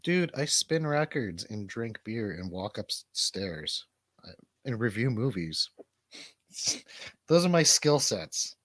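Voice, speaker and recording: Male talk host, rambling and bantering, very animated intonation, close dry sound. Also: on the low side, slow pace, no room sound. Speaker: radio show host and DJ. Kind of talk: casual chat.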